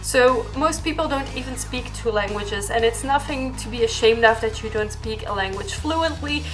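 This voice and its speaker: High-voiced young woman. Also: normal speed, over music. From Belgium. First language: Dutch. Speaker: vlogger. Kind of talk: casual question-and-answer vlog to camera.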